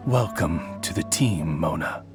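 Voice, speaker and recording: A man voicing a composed, courteous raven attendant in a video game, formal, measured and polite. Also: rumbling low, lively intonation, music in the background. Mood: calm, courteous. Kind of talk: English video game voice acting.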